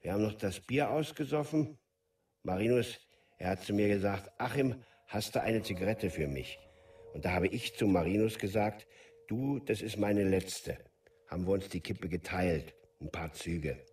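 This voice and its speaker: Man playing a low-voiced laconic village drinker. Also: moderate pace, a little mellow, distant mic, lightly acted. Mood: resigned. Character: Achim, drinking village man, resigned and matter-of-fact. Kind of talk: documentary radio play monologue.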